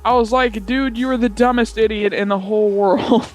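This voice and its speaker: A young male gamer with a very high-pitched voice tells a story in loose, casual chat. He is giggly and rambles, circling back and repeating words. Faint music plays behind him. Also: fairly even intonation, moderate pace.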